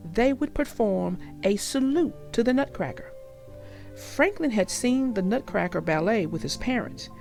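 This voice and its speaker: A woman narrating a read-aloud story for young children with deliberate, emphatic phrasing. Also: medium pitch, darkish tone.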